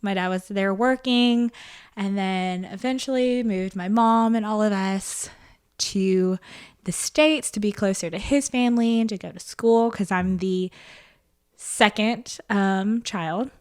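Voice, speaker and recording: A woman's voice: medium pitch, a little slow, clean tone. A woman in her twenties, a podcast guest raised in small-town Tennessee, chatting casually, self-mocking and laughing often. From small-town Tennessee, born in Indonesia. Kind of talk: casual interview chat.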